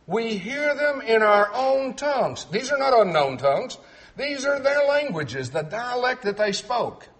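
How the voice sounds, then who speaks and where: A man's voice: extremely high pitch, sing-song, a little slow, a bit bright. A middle-aged man from Texas with a faint Texan accent, preaching a sermon and reading scripture aloud in a clipped, carefully enunciated way. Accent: Texan, trained toward clipped neutral speech. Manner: clipped, clearly enunciated delivery, reads verses aloud with emphasis.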